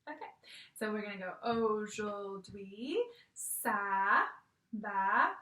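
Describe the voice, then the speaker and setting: A woman giving a gentle, patient online lesson to young children from her home, often checking in with "okay?". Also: mid-range voice, takes it slowly, recorded from a distance. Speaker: teacher. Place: room at home. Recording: echoey room, voice a bit off-mic.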